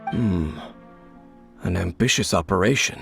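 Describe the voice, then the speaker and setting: An actor playing a stoic, secretive warrior in a video game, grave, measured and formal, with aloof cynicism. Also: male voice, expressive, fairly bright tone, soft background music, exaggerated voice. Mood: grave, explaining. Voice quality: low, gravelly. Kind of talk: dramatic video game voice acting.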